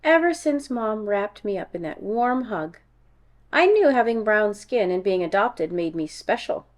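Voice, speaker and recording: A woman narrates a children's picture book. Her delivery is animated and deliberate, with the expression of a storyteller reading to young listeners. Her pitch sits in a middle range for a woman. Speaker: read-aloud storyteller, music teacher.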